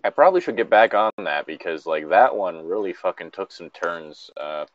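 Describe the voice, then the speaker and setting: A man's voice: low voice, expressive, moderate pace, no room sound, highs cut off. A man giving casual, sweary and sardonic commentary as he reads and reacts to a story. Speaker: host reading and reacting to a horror story.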